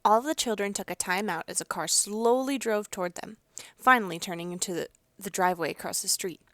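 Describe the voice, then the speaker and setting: A woman's voice: mid-range voice, brisk, a bit bright, no echo, clean studio sound. A woman narrating a children's picture book as a storyteller, reading expressively. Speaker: read-aloud storyteller.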